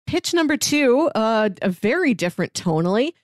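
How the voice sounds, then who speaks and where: A woman's voice: fairly high pitch, sing-song, normal speed, no echo, clean studio sound. A woman podcast co-host talking casually and enthusiastically, in a chatty way. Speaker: podcast co-host pitching movies. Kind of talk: casual chat.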